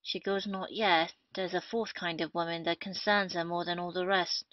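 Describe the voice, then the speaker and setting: A woman's voice: fairly low pitch, fairly even intonation, normal speed, natural voice. A woman playing a witty, cynical rake of a captain in a period comedy audio play, declaiming with mockery. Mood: mocking, bawdy. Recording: dull, dry lo-fi audio.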